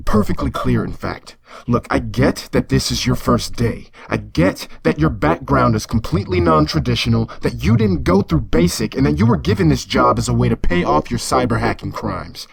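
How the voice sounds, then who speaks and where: A man's voice: mid-range voice, lively intonation, moderate pace, gravelly, crowd noise. A man playing a stern, exasperated, by-the-book spaceship captain in an audio drama, clipped and scolding. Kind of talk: science-fiction audio drama acting.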